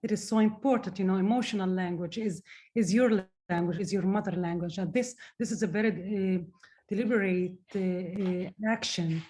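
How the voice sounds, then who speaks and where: A woman's voice: mid-range voice, moderate pace, mellow. A woman whose first language is Arabic, a therapist speaking earnestly in a group discussion, searching for words and repeating phrases.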